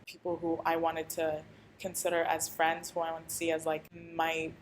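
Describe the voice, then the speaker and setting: A woman's voice: deep voice, monotone, moderate pace, a little hiss or hum, poor audio. A young woman from Algeria, a student, giving hesitant, earnest interview answers with many restarts. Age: young adult.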